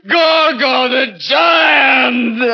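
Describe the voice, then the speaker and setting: An actor playing a tormented murderer, a former midget grown into a giant, in intense, melodramatic 1940s radio horror style. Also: male voice, extremely high pitch, husky, full character voice. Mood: hysterical, bitter. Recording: dull 1940s radio recording, faint music.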